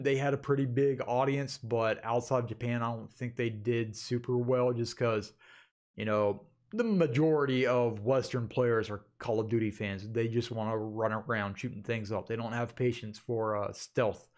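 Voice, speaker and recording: A man's voice: mid-range voice, fairly even intonation, normal speed. A man hosting a channel, talking informally and chattily to his viewers in a casual, rambling vlog style. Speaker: YouTube channel host.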